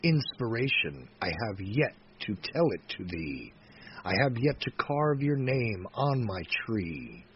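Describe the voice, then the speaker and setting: A man gives a solemn dramatic poetry reading in a lowish voice. He takes it very slowly, swelling into emphatic phrases and easing down at line endings. The recording is dull and lo-fi. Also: very animated. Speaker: poetry reader. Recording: dull lo-fi recording with faint noise.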